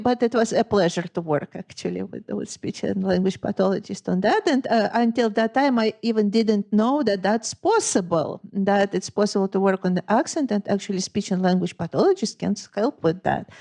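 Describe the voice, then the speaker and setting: A woman with a Lithuanian accent hosting an interview, asking questions in a measured way with hesitant fillers. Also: medium pitch, vocal fry, mellow. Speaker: podcast host. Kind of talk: interviewing a guest.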